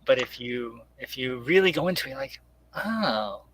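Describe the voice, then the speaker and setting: A man's voice: medium pitch, lively intonation, normal speed, a bit bright, a little hiss or hum, rough recording. A man speaking casually as an interviewed writer, explaining step by step and acting out imagined remarks as he goes. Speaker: guest comic book writer.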